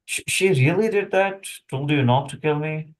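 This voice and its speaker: A man playing a weary, wry assassin in a screenplay table read, talking in a conversational, halting way. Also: a little high, close to an everyday voice. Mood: rueful. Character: weary, chatty male assassin, wry under threat.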